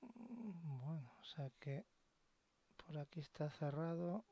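A man with a Spain Spanish accent giving casual commentary as he plays a game, thinking out loud and sometimes dropping to a hush. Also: a little high, lively intonation, slow pace, slightly rough. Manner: chatty, thinks aloud, sometimes drops to a hush. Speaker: let's play host. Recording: loud game sound under voice. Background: horror game ambience.

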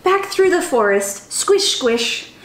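A young woman reads to small children in a high voice that swoops widely up and down. She is expressive and sing-song, talking to them directly as a storytime teacher. The room adds a noticeable echo around her voice. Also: takes it slowly, a little hiss or hum. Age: young adult. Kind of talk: expressive picture-book read-aloud for young children. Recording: roomy indoor echo.

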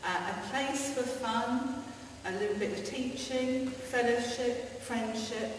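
A woman introduces a church gathering at an unhurried pace, a little high in pitch. She is heard from far off in a large, echoing hall with a long ring and steady hiss. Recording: echoey hall audio from far off, noticeable hiss.

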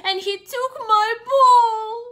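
Storybook little piglet played by a woman: very high, loud, whiny and excitable, drawing words out. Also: full character voice. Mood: crying. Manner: wailing, drawn-out, exclamatory delivery. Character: small upset young pig, whiny and tearful, excitable.

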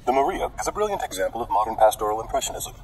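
An actor playing a formal, polished museum audio guide in a video game, reading scripted exhibit narration. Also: male voice, lowish voice, big pitch swings, racing, darkish tone, slight background noise.

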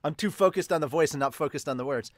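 A man's voice: a little high, quick pace, no echo. A man in his thirties reading manga aloud with casual asides, close to a desk microphone. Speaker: host, manga dubber and reviewer. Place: small room at home, indoors. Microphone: large desk microphone up close.

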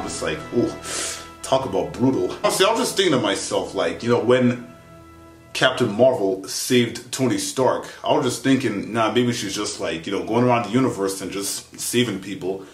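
A young man talking casually to camera in an animated, rambling, exclamatory way, as a vlogger giving a review. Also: fairly low pitch. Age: young adult. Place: small indoor bedroom with bunk beds. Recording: echoey room, music behind. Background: music.

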